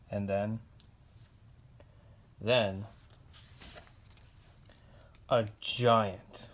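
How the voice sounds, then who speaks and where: Bad sunflower seed , performed by a man: picture-book character, deliberate and dramatic, very lively intonation. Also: medium pitch. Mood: wistful, dramatic. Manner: dramatic, deliberate storybook delivery. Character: grumpy, self-confessed bad sunflower seed, later trying to be good. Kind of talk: children's picture-book reading.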